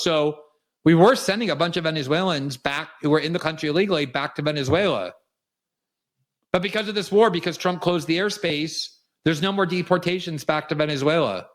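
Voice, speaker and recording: A man from South Florida hosting a political commentary show, delivering an emphatic, indignant, cutting monologue. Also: fairly high pitch. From South Florida, USA. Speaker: host.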